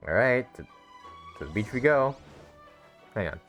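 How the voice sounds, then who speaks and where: A man's voice: medium pitch, expressive, unhurried, a bit bright, soft background music. A man giving casual gameplay commentary, reacting and talking along as he plays. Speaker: gamer streamer.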